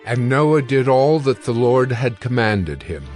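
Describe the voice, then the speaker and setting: A man narrating dramatized scripture from a script in a solemn, measured and formal manner. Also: mid-range voice, animated, darkish tone. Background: music.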